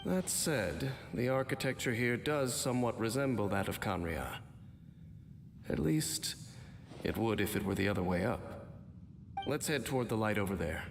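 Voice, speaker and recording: A low-voiced, stoic and mysterious game warrior, aloof and world-weary. He speaks with grave, measured formality in a long cavernous reverb, over loud ambient noise. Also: animated, vocal fry. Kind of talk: video game voice acting.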